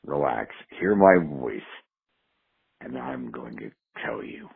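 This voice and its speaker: A man's voice: extremely low pitch, animated, slow pace, gravelly, breathy edge. A man leaving a rambling, profane voicemail for a show, jumping from topic to topic. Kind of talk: rambling phone message. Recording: tinny phone line voicemail.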